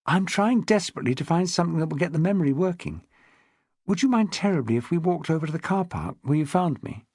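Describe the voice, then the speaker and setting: A man's voice: a little high, expressive, quick pace, a little mellow, slightly rough, no room sound, clean studio sound. A man voicing an earnest young woman asking polite, searching questions. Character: determined young woman with memory loss.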